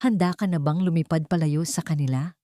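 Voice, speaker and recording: A woman's voice: low voice, steady tone, a little fast, warm tone, no echo, close to an everyday voice. A woman voicing a protective, intense man, devoted and solemn, in a dramatic audio story. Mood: tender, protective.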